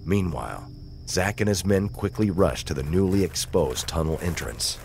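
A man's voice: sits low, expressive, normal speed, creaky, a little mellow, soft background music. A man narrating a military thriller audio drama in a grave, scripted storytelling style.

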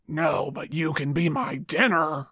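A woman voicing a sly, hungry male big bad wolf in a children's puppet show, gravelly and falsely polite. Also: low voice, heavy background noise, pitched below the performer's own voice. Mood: scheming. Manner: slow, scheming, falsely polite.

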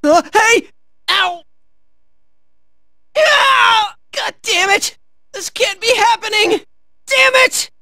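An American man playing a cocky, excitable teenage boy in a video game, barking out brash, high-strung battle lines. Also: slow pace, no echo, studio quality, full character voice. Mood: panicked, strained.

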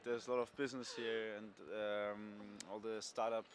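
A young man answers briefly and quietly outdoors at night, somewhat away from the mic. Faint street noise lingers behind him. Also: on the low side, little pitch movement, moderate pace. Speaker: passer-by interviewee. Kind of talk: street vox pop.

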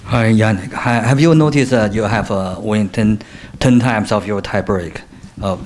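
A male reporter puts a hesitant question to a player, stopping on "uh" fillers. His voice sits fairly low. Faint clicks sound in the background. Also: a little slow, darkish tone, a little hiss or hum. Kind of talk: press conference question.

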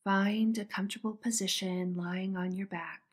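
A woman softly narrating a guided meditation in a soothing, breathy manner. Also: medium pitch, fairly even intonation, normal speed. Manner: soft, breathy, soothing delivery.

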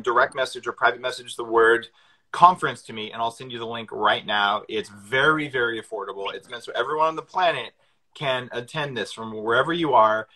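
Bright-voiced man in his forties. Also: medium pitch, low-quality recording. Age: 40s. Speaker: host. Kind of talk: casual live video chat. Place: indoor kitchen with high ceiling. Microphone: phone front camera at arm's length.

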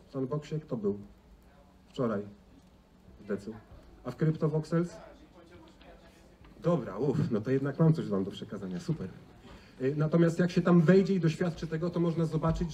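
A man's voice: a little high, warm tone. A middle-aged man giving an informal conference talk in a conversational way, often adding tag questions. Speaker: conference speaker. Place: large indoor auditorium stage. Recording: distant, noisy stage sound in a hall.

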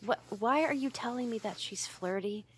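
A woman's voice: a little high, normal speed, a little hiss or hum, close to an everyday voice. A woman playing a flustered, easily embarrassed tsundere roommate in an ASMR roleplay, stammering and defensive but secretly fond. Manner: stammering, defensive, huffy, hesitant.

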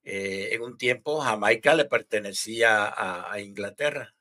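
A man in his sixties from Puerto Rico, with a Puerto Rican accent, explaining things haltingly as a guest on a video call. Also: fairly low pitch, bright, forceful tone. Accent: Puerto Rican Spanish. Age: 60s. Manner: halting, repeats words while explaining. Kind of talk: casual interview answers. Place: home room, indoors. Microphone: headset mic on video call.

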